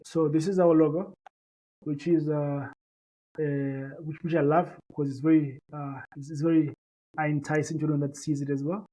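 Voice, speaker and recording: A man's voice: on the high side, flat delivery, moderate pace, mellow, poor audio. A man from Zimbabwe whose first language is Shona, a company founder talking earnestly in an interview, with many fillers and repeated words. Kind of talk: interview, conversational business pitch.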